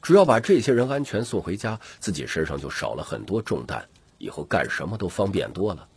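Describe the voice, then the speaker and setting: A man's voice: deep voice, big pitch swings, brisk, a little mellow, slightly rough, dry recording. A man reading dramatic scripted fantasy narration as an audiobook narrator.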